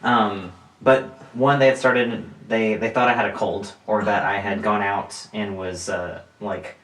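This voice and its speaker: A man of twenty-five, co-hosting a podcast, telling stories in an animated way with lots of fillers and frequent laughs. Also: fairly low pitch, a little slow. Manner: animated storyteller, laughs often, many fillers. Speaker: podcast co-host. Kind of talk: casual chat. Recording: roomy sound, mic at a distance.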